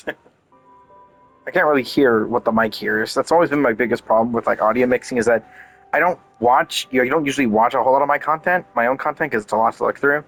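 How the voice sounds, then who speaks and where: A man's voice: mid-range voice, a little fast, fairly bright tone. A man doing casual gameplay commentary, rambling and thinking aloud as he streams. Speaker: game streamer. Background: game music.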